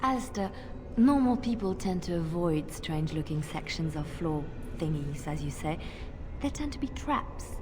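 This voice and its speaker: A woman playing a devout adventurer in a video game, speaking in a measured, earnest way. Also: fairly low pitch, expressive, over music, lightly acted. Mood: dry, teasing. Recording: clear background noise.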